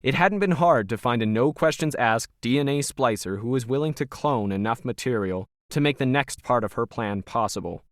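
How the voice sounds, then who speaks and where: A man reads scripted fiction as a narrator. His voice sits at a medium pitch, captured close in a dry space with very little room sound. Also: animated, normal speed, few pauses, no room sound.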